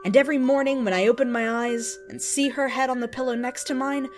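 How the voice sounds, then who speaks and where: High, theatrical woman playing an earnest lovestruck devotee. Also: steady tone, a little fast, over music, natural voice. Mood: tender, devoted. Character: earnest, lovestruck, indignant devotee of a cartoon pony. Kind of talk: dramatic reading of an open letter.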